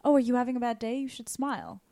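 A woman's voice: on the high side, quick pace, warm tone, no echo. Casual woman singer, dry sound. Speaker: singer guest. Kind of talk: casual group chat.

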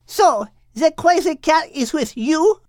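A man voicing a brash, bossy hen with a French accent and an excitable, rambling manner in an audiobook. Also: very high-pitched, takes it slowly, dry recording, studio quality, pitched above the performer's own voice, full character voice. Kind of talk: animated audiobook character reading.

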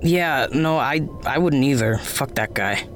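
A gentle, blunt male friend offers comfort in an audio drama. He speaks very slowly, in casual halting phrases, at a medium pitch. Faint music sits behind him. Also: expressive. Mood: reassuring.

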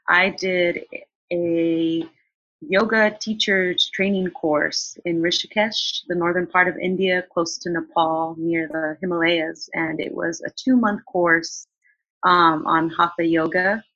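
Husky woman chatting about travel. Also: on the low side, no echo. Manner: chatty, relaxed, many fillers and restarts. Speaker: guest. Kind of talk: casual interview answers. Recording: dull lo-fi sound.

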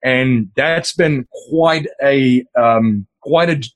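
A man's voice: medium pitch, extremely slow, dry recording. A middle-aged man, an Afrikaans speaker, talking casually in an interview, rambling with many fillers and restarts. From South Africa. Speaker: podcast host as interview guest.

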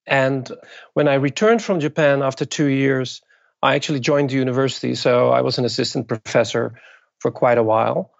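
A middle-aged man from the Netherlands with a Dutch accent, speaking as an interview guest in a thoughtful way with many pauses. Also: medium pitch, fairly even intonation, vocal fry, no echo, highs cut off. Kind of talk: interview conversation.

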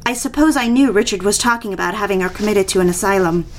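A woman's voice: mid-range voice, quick pace, a little hiss or hum, lightly acted. A woman playing a lovestruck, compliant married mistress in an audio drama, speaking and narrating in an intimate, confessional way. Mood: conflicted, confessional. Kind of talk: dramatic first-person narration and dialogue. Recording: music behind the voice.

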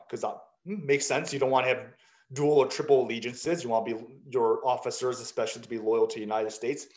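A man in his thirties, who grew up in South Africa, tells his life story to old service buddies. He speaks at an ordinary mid pitch and stays candid and self-mocking, acting out bits of dialogue. The room has a noticeable echo. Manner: candid, self-deprecating, lively anecdotes with quoted dialogue. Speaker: guest, startup founder. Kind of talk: casual conversational storytelling. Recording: roomy echo.

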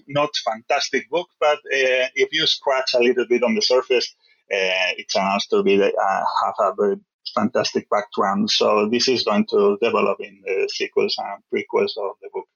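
A man's voice: lowish voice, a bit bright, highs cut off. A man from Spain with a Spanish accent, a guest author answering interview questions over a remote call, hesitant and full of fillers as he searches for English words.

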